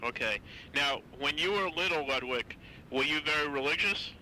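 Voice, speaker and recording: Bright-voiced man asking short questions. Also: fairly high pitch, normal speed, a little hiss or hum, low-quality recording. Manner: short prompting questions, repeats answers back. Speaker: interviewer. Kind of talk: family interview.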